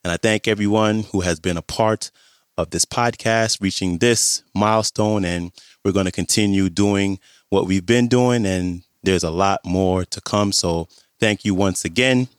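A hoarse man hosting a podcast, with a very low voice. He chats in a relaxed way as he introduces the show. The recording is dry and close. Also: a little slow, no echo. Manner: relaxed, chatty, friendly greetings. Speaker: podcast host.

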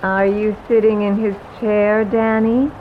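A woman voicing the vengeful ghost of a murdered woman, speaking in a slow, singsong, taunting way. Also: on the low side, narrow, tinny audio, slight background noise. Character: dead female victim ghost, taunting, vengeful. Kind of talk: horror audio drama.

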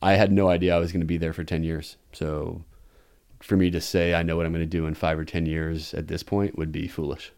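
A man in his thirties from the United States talks through his answers in a relaxed interview. His voice sits very low. He pauses and restarts as he thinks. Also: smooth. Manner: thoughtful, hesitant, restarts, chuckles. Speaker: guest, expat math teacher. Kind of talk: casual interview chat.

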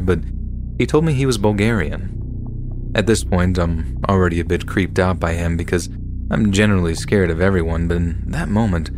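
Male narrator, very low pitch, calm steady horror storytelling over a music bed. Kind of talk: scripted narration of horror stories. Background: background music.

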